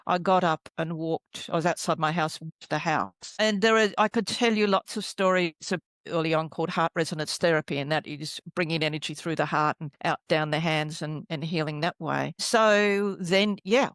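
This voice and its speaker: Brief: middle-aged woman, lowish voice, soft and rambling, dry close sound. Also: moderate pace, few pauses, no echo. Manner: soft-spoken, searching for words, rambling. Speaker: healer guest. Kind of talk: reflective storytelling interview.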